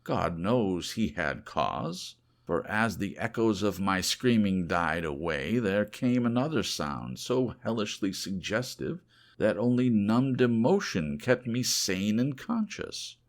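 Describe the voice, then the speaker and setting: A man narrating a horror audiobook in a theatrical, deliberate, scripted manner. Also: fairly low pitch, very animated.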